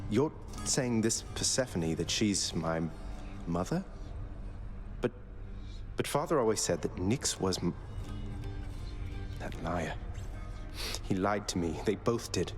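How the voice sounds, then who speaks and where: Low-voiced rebellious young prince. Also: male voice, animated, slow pace, long pauses, soft background music. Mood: shocked, hurt. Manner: wry, informal, irreverent. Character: rebellious young male underworld prince, cheeky, wilful. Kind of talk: game dialogue acting.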